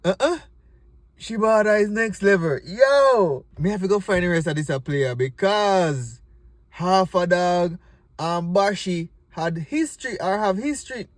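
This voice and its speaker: A man's voice: high-pitched, lively intonation, a little slow, no room sound. Jamaican man hosting, close and dry. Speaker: host of a comedy clip show. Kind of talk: casual video intro and reaction.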